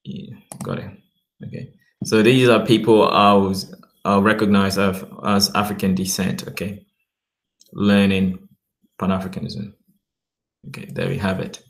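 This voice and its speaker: A man talking casually to his viewers, rambling and often checking in with "okay" while reading web pages aloud slowly. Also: low-pitched, flat delivery, creaky. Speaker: vlogger. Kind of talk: casual talk to camera.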